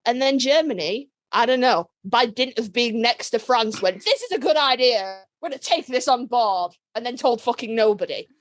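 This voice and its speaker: A woman hosting a podcast in casual, jokey chat, swearing freely and rambling excitably. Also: on the high side, moderate pace, few pauses, no room sound. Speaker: podcast host.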